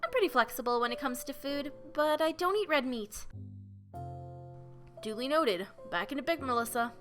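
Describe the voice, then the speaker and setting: A woman with a cold voicing an earnest young male geologist who explains things patiently in a measured, tidy way, her voice a little nasal. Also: high voice, expressive, a bit bright, soft background music. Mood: friendly.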